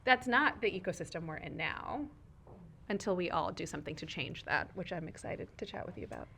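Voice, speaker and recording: Bright, crisp-sounding woman, urdu is her first language, talking at a live chat with a crowd listening, thoughtful and roundabout, lots of "right?". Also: on the low side, expressive, brisk, a little hiss or hum. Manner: thoughtful, expansive, frequent "right?" and "like". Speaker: guest speaker, foundation leader. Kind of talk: live fireside chat before an audience. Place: event room with audience.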